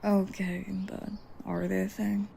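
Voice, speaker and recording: A woman playing a blunt, inquisitive vigilante in an audio roleplay, with a gravelly voice and dry questioning manner. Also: medium pitch, little pitch movement, a little slow, mellow, a little hiss or hum. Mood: wry, prying.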